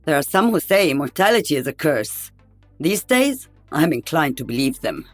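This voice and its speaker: A woman's voice: sits low, big pitch swings, moderate pace, no echo, soft background music. A woman playing an older veteran sniper in a video game, wry and teasing. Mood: musing.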